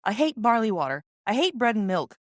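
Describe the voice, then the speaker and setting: Woman voicing an insistent young boy, raised pitch. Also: big pitch swings, fairly quick, no echo, clean studio sound. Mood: grumbling, insistent. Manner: emphatic, insistent. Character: eager, demanding ten-year-old boy sick in bed. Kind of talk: storybook character reading.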